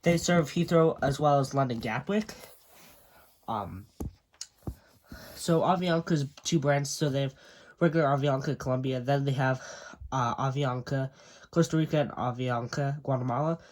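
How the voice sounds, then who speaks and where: A man's voice: medium pitch, normal speed, long pauses, slight background noise. A young man with a congested, stuffy voice, narrating a casual video tour of his model airport, with frequent ums and uhs.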